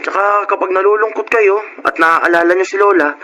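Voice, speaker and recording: Male actor as young Filipino boy, eager, earnest, high-pitched, bright tone, radio drama, faint noise. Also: fairly even intonation, a little fast, highs cut off. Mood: earnest, coaxing. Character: eager, cheerful schoolboy who loves drawing. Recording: noisy radio drama track.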